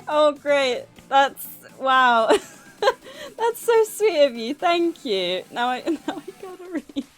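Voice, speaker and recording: A woman's voice: high-pitched, very animated, takes it slowly, soft background music. A woman livestreamer chatting casually with her viewers in a flustered, nervous, giggly and effusively thankful manner. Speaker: livestreamer and author hosting a stream. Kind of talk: casual live chat with viewers. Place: livestream, indoors at a computer.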